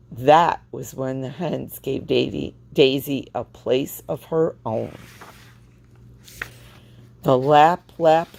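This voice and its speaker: A woman reading a children's storybook aloud with expressive, deliberate phrasing. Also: sits low, vocal fry. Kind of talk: read-aloud storybook narration.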